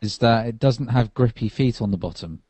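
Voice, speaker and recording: A man's voice: medium pitch, moderate pace, dark tone, husky, no room sound. A tired man, a podcast co-host, chatting casually about tech while lying on a sofa.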